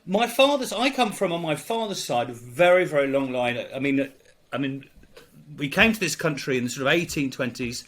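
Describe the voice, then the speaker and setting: This is a middle-aged man with an English accent and a voice pitched a bit high for a man. He is a priest recounting his own story to an interviewer, halting often with fillers, false starts and repeated words. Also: expressive, fairly bright tone. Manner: halting, many fillers and restarts. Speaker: priest, guest. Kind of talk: reflective storytelling in conversation.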